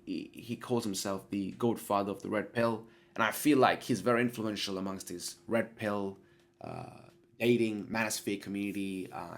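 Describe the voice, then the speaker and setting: A young man hosting his own podcast while sniffly with a cold, talking through his thoughts in an earnest, hesitant way that keeps correcting itself. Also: lowish voice. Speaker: podcast host. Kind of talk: solo podcast monologue.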